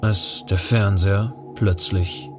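Casting: male narrator, lower register, scripted audio thriller, music clearly behind. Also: fairly even intonation, slow pace.

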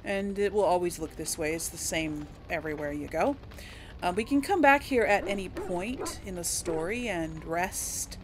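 A woman's voice: lowish voice, animated, moderate pace, slight background noise. Chatty woman doing game commentary. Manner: chatty, informal. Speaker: gaming video host. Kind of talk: casual let's play commentary with role-played dialogue. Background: video game ambience.

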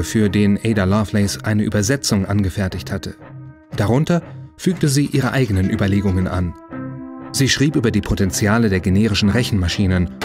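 Male feature narrator, lowish register, scripted read over a clear music bed. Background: music behind the voice.

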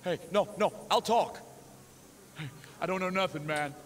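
A man's voice: sits high, moderate pace, lightly acted. An actor playing a frightened frontier outlaw man begging his captor in a video game. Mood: panicked pleading. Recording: echoing, very noisy game audio.

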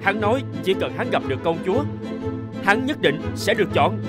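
An actor playing an excitable, deferential male courtier in a cartoon fairy tale, speaking emphatically. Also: sits high, brisk, loud music behind the voice. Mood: urgent, deferential.

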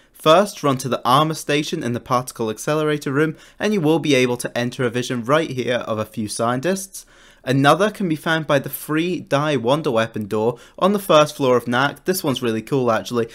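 A man's voice: mid-range voice, fairly bright tone. A man narrating a scripted video game guide in an informal, enthusiastic way, like a YouTuber. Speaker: gaming YouTuber.

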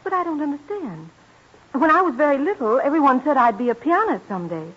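Casting: shy, courteous teen girl for vintage radio play; high, lively, swooping delivery. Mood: unsure, earnest. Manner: hesitant, halting, well-mannered. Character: polite, modest fifteen-year-old girl singer and pianist. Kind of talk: old-time American radio drama acting. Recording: muffled, lo-fi old radio broadcast.

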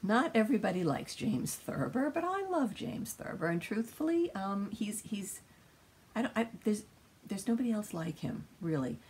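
An older woman around her sixties chatting casually and emphatically, telling stories with a husky, gravelly voice. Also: medium pitch, normal speed, slight background noise. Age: older, around 60s. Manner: animated, emphatic storyteller. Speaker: co-host, mother discussing books. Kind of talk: casual rambling chat. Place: small book-lined room indoors.

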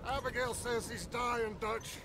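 An actor playing a weary, worried outlaw in a western video game cutscene. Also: male voice, extremely high pitch, little pitch movement, unhurried, a crowd in the background. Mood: worried, urgent. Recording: noisy outdoor game ambience.

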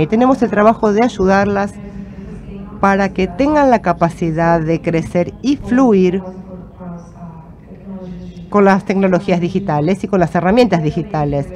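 A middle-aged woman, a native Portuguese speaker, giving a measured, formal talk as a conference panelist into a handheld microphone. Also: medium pitch, darkish tone. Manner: measured, cites figures steadily. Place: conference stage, indoors. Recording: conference hall with audience murmur. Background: audience crowd.

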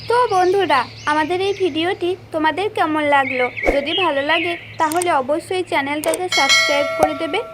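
A woman's voice: very high-pitched, moderate pace, few pauses. A woman narrating a scripted children's story as the storyteller. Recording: clearly noisy, birdsong behind. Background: birdsong and nature sounds.

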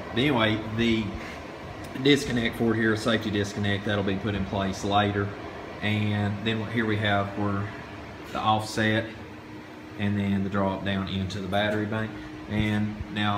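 A young man with a fairly low voice gives an informal, folksy tour of a job site. He rambles along while holding the phone, with clear noise around his voice. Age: young adult. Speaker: off-grid solar contractor, video host. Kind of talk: informal handheld video tour. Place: hilltop job site, in and out of doors. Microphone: phone held by the speaker. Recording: noisy handheld recording with wind.

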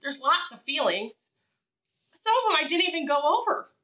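A woman's voice: high voice, distant mic. A woman teaching preschoolers in a read-aloud style, speaking simply and encouragingly, with repeated phrases and questions to the children. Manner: animated, encouraging, asks questions and pauses for answers.

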